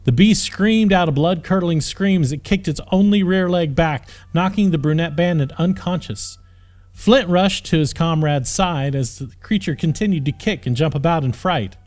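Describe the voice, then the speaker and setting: Higher-pitched man narrating over music. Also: moderate pace, a little mellow. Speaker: audiobook narrator. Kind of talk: scripted fantasy narration.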